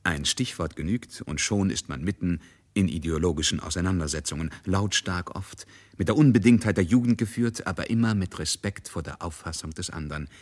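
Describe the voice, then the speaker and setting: Deep voiced guy narrating a german radio play, literary storytelling style. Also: brisk.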